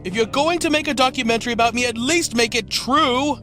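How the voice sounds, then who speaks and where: A man's voice: very high-pitched, fairly quick, sharp tone. A man playing a whiny, prissy young lawyer in a comedic cartoon parody, prim and easily indignant. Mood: exasperated. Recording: music behind the voice.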